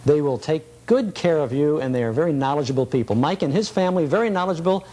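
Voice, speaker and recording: A man's voice: fairly high pitch, animated, fast, dark tone. A middle-aged man hosting a show in a folksy, conversational, genial way. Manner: genial, appreciative. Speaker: show host.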